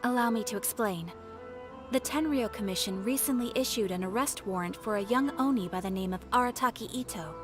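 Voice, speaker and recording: A woman's voice: mid-range voice, natural voice. A woman voicing a businesslike guild receptionist in a video game, with a formal, courteous manner. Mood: explanatory, composed. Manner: formal, courteous, measured phrasing. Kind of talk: scripted game character dialogue. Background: music.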